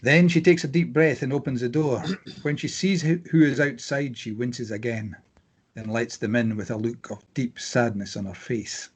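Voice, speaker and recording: A man reading screenplay stage directions aloud as scripted narration, with a slightly husky voice. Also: mid-range voice, steady tone, moderate pace.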